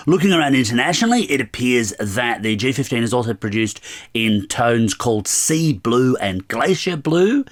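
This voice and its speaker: A middle-aged man with a stuffy head cold, reviewing a product to camera with wry, opinionated asides. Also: mid-range voice. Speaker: tech reviewer.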